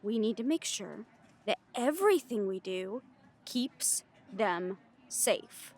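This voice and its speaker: A woman's voice: mid-range voice, animated, slow, a little mellow. A woman playing a cautious, frank werewolf second-in-command in an audio drama, with a questioning, dryly sardonic manner. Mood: wary, serious. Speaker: voice actor in audio roleplay. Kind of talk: scripted audio roleplay drama.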